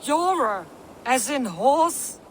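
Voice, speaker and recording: An actress playing a gruff, bossy Norse camp overseer in a video game, curt and scornful as she gives orders. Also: high voice, big pitch swings, very slow, slight background noise, heavily acted.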